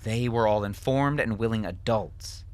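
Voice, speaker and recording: A man's voice: on the low side, measured pace, darkish tone, voice pushed up, lightly acted. A man voicing a defensive middle-aged doctor, husband and father, with a firm, weary, self-justifying manner in an audiobook. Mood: frustrated, firm.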